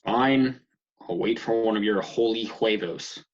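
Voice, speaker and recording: A man's voice: fairly low pitch, measured pace. A man playing a tired, sarcastic young man who complains about his housemates in a comedy table read. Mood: wry. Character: sleep-deprived, exasperated young man with housemate troubles. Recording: muffled video call audio.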